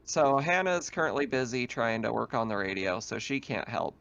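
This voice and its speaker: A man's voice: medium pitch, moderate pace, creaky, a bit bright, dull audio. Man running a tabletop roleplaying game. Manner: conversational, improvised scene description. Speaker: game master.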